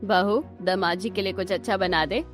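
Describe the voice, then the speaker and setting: A woman's voice: medium pitch, moderate pace, soft background music, natural voice. A woman voicing a caring, homely, self-sacrificing mother-in-law in a cartoon family drama. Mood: willing.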